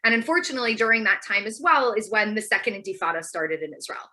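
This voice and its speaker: A woman's voice: medium pitch, fast talker, sharp tone. An American woman in her thirties giving an informal personal talk as a guest speaker, telling her story with emphatic asides. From United States. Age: 30s.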